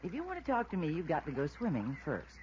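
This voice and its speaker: An actress playing a bold, willful, flirtatious socialite in a teasing 1950s radio drama style. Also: female voice, low voice, wildly swooping pitch, fast talker. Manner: teasing, coy, self-assured. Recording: dull, noisy old radio recording.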